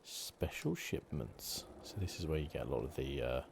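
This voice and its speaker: Very deep soft-spoken man, ASMR gaming. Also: animated, normal speed, creaky, a little hiss or hum. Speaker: gaming channel host. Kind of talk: ASMR game commentary, casual. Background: video game sound.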